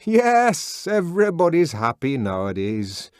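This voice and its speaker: A man reading an audiobook and voicing a brooding, discontented young man who talks earnestly and at times shouts. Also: on the high side, huge pitch swings, a little slow, smooth, no echo, clean studio sound, pitched above the performer's own voice. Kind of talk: audiobook character voice.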